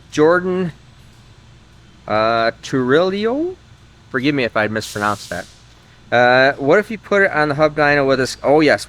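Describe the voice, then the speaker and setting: Guy chatting casually in his engine shop, kinda rambling, thinking out loud, bit of music in the back. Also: mid-range voice. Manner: rambling, thinking aloud, often trailing off mid-sentence. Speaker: host of an engine-building video channel. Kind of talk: casual shop talk to viewers. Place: engine workshop.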